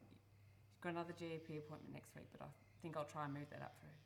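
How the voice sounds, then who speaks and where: A woman playing a worried, guilt-ridden adult daughter in a radio play, protective and earnest, speaking haltingly. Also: low voice, level tone, vocal fry, slight background noise. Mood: flustered, apologetic.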